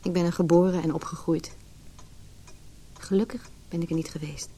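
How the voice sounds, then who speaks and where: Wounded anxious wife, dark soft-edged voice, lowish, introspective radio play delivery. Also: female voice, fairly even intonation, normal speed, husky. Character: anxious, wounded married woman recalling her lonely childhood. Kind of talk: Dutch radio play acting.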